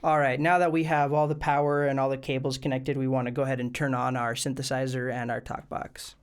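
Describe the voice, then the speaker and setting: A man's voice: medium pitch, level tone, fairly quick, smooth. A man hosting a tutorial video, explaining things step by step in an informal, plain way. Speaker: host of a music gear tutorial channel. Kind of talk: casual instructional video tutorial.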